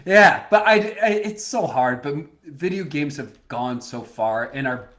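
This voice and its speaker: A man in his thirties talking casually as a podcast co-host. He is animated and laughs easily. His pitch sits mid-range for a man. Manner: animated, quick to laugh, does little quoted voices. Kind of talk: casual chat.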